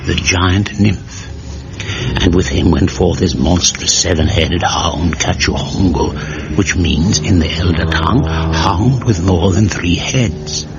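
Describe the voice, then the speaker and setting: Deep, gravelly, portentous epic narrator. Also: male voice, takes it slowly, lo-fi sound, very noisy. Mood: portentous, deadpan. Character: solemn, portentous male chronicler of an ancient epic. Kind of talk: mock-epic scripted radio comedy narration.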